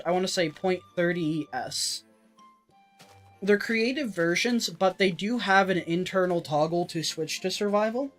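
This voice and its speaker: A streamer chatting casually through a let's-play, rambling with lots of filler words and getting a little raspy. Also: mid-range voice, fairly bright tone. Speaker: streamer playing an old game version.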